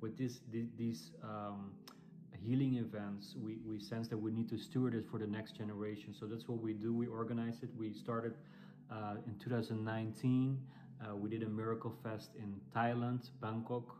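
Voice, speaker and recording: A man from the Netherlands with a Dutch accent, a ministry founder introducing himself to camera, speaking haltingly with many fillers and restarts. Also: on the low side, little pitch movement, background noise.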